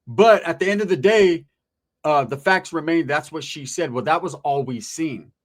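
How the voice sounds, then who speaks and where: A man's voice: on the high side, normal speed. A man hosting informal true-crime commentary, talking straight to viewers in emphatic street slang with rhetorical questions and some swearing. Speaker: online commentary host.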